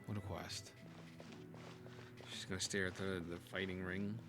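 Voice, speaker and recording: A man with a very low voice reacts to a game in slow, slangy, profane commentary. He mutters along in a casual way over prominent music. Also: flat delivery, vocal fry.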